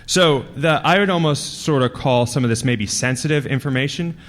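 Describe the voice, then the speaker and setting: Halting male conference speaker in a reverberant hall. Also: medium pitch. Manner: halting, rambling, conversational delivery. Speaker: security researcher giving a conference talk. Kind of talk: informal technical presentation to an audience. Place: large conference hall, speaking to a live audience.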